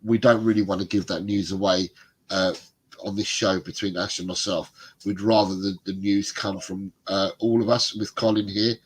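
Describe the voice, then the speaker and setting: A middle-aged man, a little bunged up, reads viewer comments and chats on a fan livestream. His voice sits low and sounds husky. He rambles easily and laughs along. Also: flat delivery, moderate pace, rough recording. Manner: chatty, rambling, laughs often. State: congested, bunged up. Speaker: co-host of a football fan livestream. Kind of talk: casual chat reading out viewer comments.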